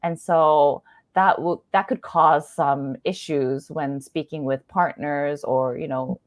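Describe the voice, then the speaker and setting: A chatty woman from Canada, guest on a talk show, with a lowish voice and a dry, close sound. She speaks casually and laughs easily, filling her sentences with little "like"s and "you know"s. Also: fairly even intonation, takes it slowly, dry recording. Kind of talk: casual conversation.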